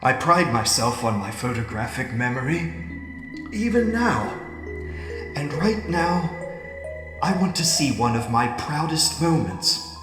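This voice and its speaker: A man playing an ageing, regretful scientist in an audio drama monologue, speaking formally and broodingly with heavy pauses. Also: mid-range voice, very animated. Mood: proud, wistful. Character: ageing, regretful scientist fighting off an alien conditioning in his mind. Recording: echoey reverberant hall with music underneath. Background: music.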